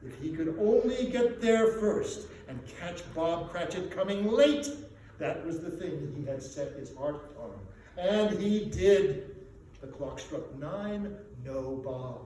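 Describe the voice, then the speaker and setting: A man giving a theatrical, expressive dramatic reading as a storyteller. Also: a little high, slow. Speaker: storyteller, stage performer. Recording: very echoey room, distant mic, faint noise.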